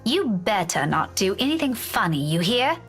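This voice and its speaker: A woman's voice: medium pitch, big pitch swings, normal speed, soft background music. An actress playing a wry, defiant young woman in a visual novel game. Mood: taunting, defiant.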